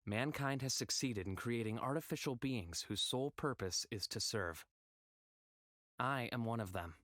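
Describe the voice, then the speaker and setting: A solemn male android servant, played by an actor, introduces his world in measured game narration. The voice sits at a middling male pitch in a very dry recording. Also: fairly bright tone, dry recording, lightly acted. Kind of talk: scripted visual novel game narration.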